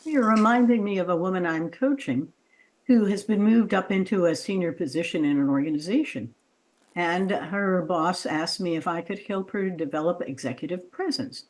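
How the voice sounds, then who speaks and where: A woman's voice: on the low side, moderate pace, darkish tone, low-quality recording. A woman podcast host and coach who explains her ideas thoughtfully and draws out her guest. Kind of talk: interview conversation.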